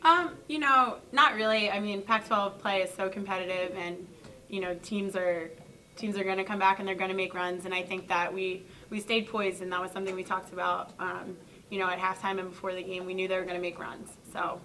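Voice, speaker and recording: A young woman basketball player gives relaxed post-game answers, full of "you know" and "I mean". Her voice is bright and clear. She speaks into a table mic from a little way off. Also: mid-range voice, a little fast, far from the mic, slight background noise, low-quality recording. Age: young adult. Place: press conference room.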